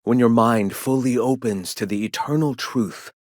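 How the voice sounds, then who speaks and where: An actor playing a zealous, scholarly leader of a philosophical rebel movement in a video game, speaking in a lofty, formal, preachy way. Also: male voice, medium pitch, moderate pace, dry recording, clean studio sound, close to an everyday voice. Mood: solemn, reflective. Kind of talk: video game character dialogue.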